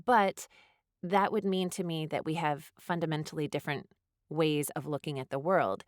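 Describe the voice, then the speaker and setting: A woman's voice: low voice, steady tone, normal speed, no room sound, studio quality. A woman answering interview questions as a guest, thinking aloud in hesitant, thoughtful phrases. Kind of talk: reflective interview conversation.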